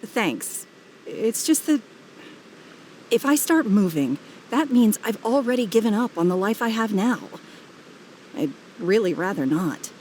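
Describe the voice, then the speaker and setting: A woman's voice: medium pitch, sing-song, a little slow, pauses often, background noise. A woman voicing an easygoing townswoman in a game cutscene, talking breezily. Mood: breezy. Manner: breezy, casual, a bit dismissive. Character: easygoing townswoman living in the moment. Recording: game score underneath.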